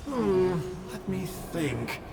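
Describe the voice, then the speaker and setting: A man's voice: sits high, big pitch swings, very slow, gravelly, background noise, heavily acted. A man voicing a flippant, bookish, cowardly demon mage in a video game, theatrical and wisecracking. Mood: mock self-pity. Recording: long cavernous reverb over music.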